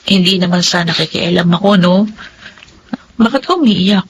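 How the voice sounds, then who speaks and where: A woman playing an upbeat, persevering young working student in a radio drama, brisk and reassuring, with a Filipino accent. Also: fairly low pitch, slight background noise, close to an everyday voice. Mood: blunt, concerned.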